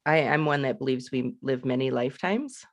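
Man, interview host, mid-pitched voice, affirming, dry recording. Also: normal speed, no echo. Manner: warm, affirming listener with frequent backchannels. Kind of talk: casual interview.

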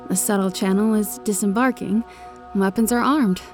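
An actress playing a devout, confident machine-cult tech priest with a solemn, formal manner in an audio drama. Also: female voice, medium pitch, steady tone, normal speed, lightly acted. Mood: proud. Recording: faint music behind the voice.

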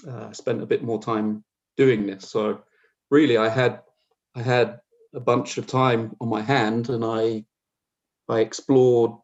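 A man in his forties with an English accent speaks at a middle pitch through slightly dull, lo-fi audio. He talks as a podcast guest, thinking aloud, often repeating words and feeling his way through sentences. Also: darkish tone. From south coast of England. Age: 40s. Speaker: interview guest, street photographer. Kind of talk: conversational podcast interview.

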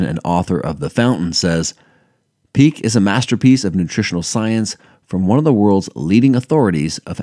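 A man's voice: deep voice, darkish tone, dry recording, clean studio sound. A man reading scripted promotional narration as an announcer. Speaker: advertisement announcer.

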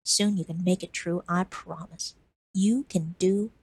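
Brief: woman reading a crackly radio host, preachy and motivational, husky timbre. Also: fairly low pitch, fairly even intonation, a little slow, darkish tone, dry recording, full character voice. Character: smooth male radio self-help host. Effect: garbled radio static.

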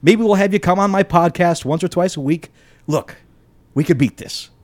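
A man's voice: sits high, moderate pace, no echo, voice pushed up, close to an everyday voice. A man voicing a blunt, irritated, foul-mouthed guy in dialogue from a comic story. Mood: resigned, reassuring. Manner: blunt, exasperated.

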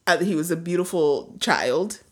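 A woman's voice: lowish voice, lively intonation, normal speed, a crowd in the background. Joking woman podcast co-host. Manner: jokey, laughs often, trails into mumbles. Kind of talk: casual chat.

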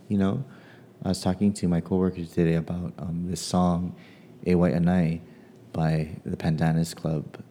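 A man from Hawaii, a playwright musing casually about his work and family, pausing often with ums. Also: low voice, dark tone. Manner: hesitant, many ums and pauses. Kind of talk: casual personal reflection.

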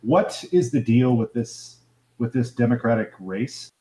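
A man in his thirties calling in to a live show, chatting quickly and casually, his voice often trailing off at the ends of phrases. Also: mid-range voice, mellow. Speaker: caller. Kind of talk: casual call-in chat.

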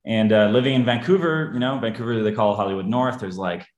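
A man's voice: on the low side, fast talker, lo-fi sound. Brazilian-born man in an echoey room. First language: Portuguese. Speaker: guest actor. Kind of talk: casual interview chat.

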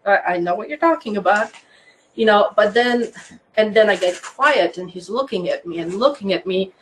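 A woman's voice: medium pitch, normal speed, slight background noise, poor audio. A woman in her fifties from Croatia, speaking English with a Croatian accent as an interview guest, telling her story in a chatty way with frequent laughs and restarts. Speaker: guest, land surveyor.

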